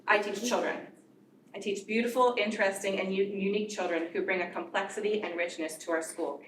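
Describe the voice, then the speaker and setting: A woman's voice: medium pitch, fairly even intonation, fairly quick. A woman teacher of English learners giving an earnest prepared speech at a board meeting. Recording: echoey room from far off, noisy.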